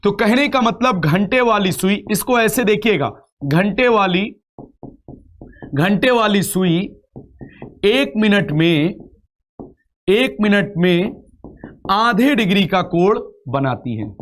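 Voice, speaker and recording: A man's voice: high-pitched, lively intonation, slow, pauses often, fairly bright tone, a little hiss or hum. A man teaching an exam class step by step, repeating phrases and asking rhetorical questions. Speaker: reasoning teacher for exam coaching. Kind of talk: live online lecture, explaining step by step.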